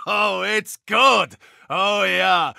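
A boisterous German soccer hooligan from a video game, with a bright, forceful edge and plenty of gravel. His German-accented delivery is bombastic and comic, a brawler with a soft side. The sound is close and dry. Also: sits high, very slow, no echo, heavily acted. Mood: fired up. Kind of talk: animated video game character lines.

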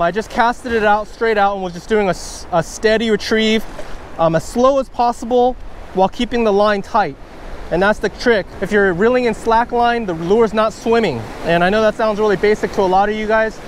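A man vlogging while surf fishing, talking casually and excitedly through his technique, recorded on a wearable camera on a beach. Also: sits high. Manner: excited, bursts of stoked exclamations. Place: outdoors on an ocean beach, wading in the surf. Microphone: wearable action camera, first-person view. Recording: faint ocean surf noise.

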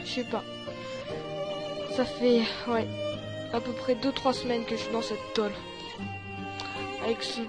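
A woman playing a desperate, tormented fourteen-year-old boy prisoner in an improvised horror game roleplay, halting, repetitive and agitated. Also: fairly high pitch, close to an everyday voice. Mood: weary despair. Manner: halting, repetitive, agitated, often dropping to whispers.

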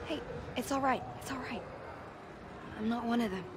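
An American actress playing a tough, practical young survivor, urgent and plain-spoken, in a zombie game. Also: female voice, on the high side, normal speed, noisy background, close to an everyday voice. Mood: reassuring, wary. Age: young adult. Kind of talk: dramatic game cutscene acting.

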